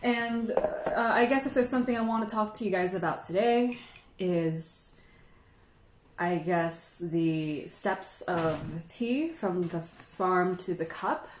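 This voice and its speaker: A woman's voice: lowish voice, slow, echoey room, slight background noise. A woman hosting a casual livestream, chatting in a sentimental, giggly, informal way. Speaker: livestream host and tea teacher.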